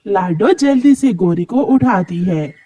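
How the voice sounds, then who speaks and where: A woman's voice: medium pitch, lively intonation, measured pace, warm tone, lo-fi sound. A woman reading scripted story narration for a children's cartoon.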